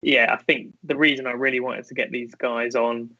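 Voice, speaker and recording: A man's voice: medium pitch, flat delivery, normal speed, bright, forceful tone, no echo. A man co-hosting a podcast, chatting casually and enthusiastically. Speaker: podcast co-host. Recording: dry lo-fi remote audio.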